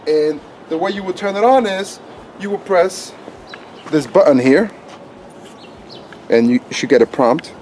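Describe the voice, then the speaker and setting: A man with a voice a touch higher than average talks like a casual video reviewer. He explains things in an easygoing way, often repeating himself as he shows how something works. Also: measured pace, long pauses, a little mellow, slight background noise. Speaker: gadget reviewer. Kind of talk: casual hands-on review chat.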